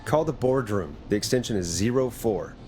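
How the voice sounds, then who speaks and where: A man voicing a late-night radio talk host in a video game, steady, reassuring and decisive. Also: mid-range voice, moderate pace, slight background noise, natural voice.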